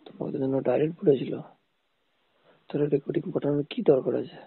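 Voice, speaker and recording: A man with a rough, husky voice arguing insistently and repetitively in an intimate phone call. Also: a little high, steady tone, fast talker, no echo. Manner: insistent, repeats demands. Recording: muffled tinny phone call recording.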